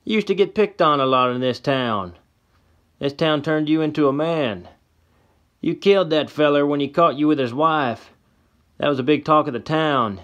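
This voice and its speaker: A man voicing a hostile old frontiersman with a gun, yelling in a shrill folksy drawl. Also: normal speed, close to an everyday voice. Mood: gloating. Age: older.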